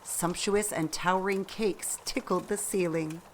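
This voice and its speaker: A woman with a fairly low voice narrates a radio play slowly and expressively. Her storytelling is deliberate, with a faint noise behind it. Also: fairly even intonation, poor audio.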